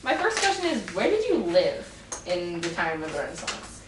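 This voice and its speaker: Young Canadian woman, teasing deadpan interviewer, distant mic, noisy room. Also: on the low side, normal speed. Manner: teasing, deadpan jabs with many ums. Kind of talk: amateur mock interview. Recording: echoey room, distant mic, background noise.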